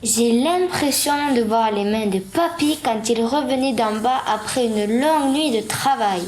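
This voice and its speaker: A woman playing a practical, gently bossy mother in a radio drama. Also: a little high, unhurried, close to an everyday voice. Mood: sceptical, patient. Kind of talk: radio fiction acting. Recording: roomy sound, faint background noise.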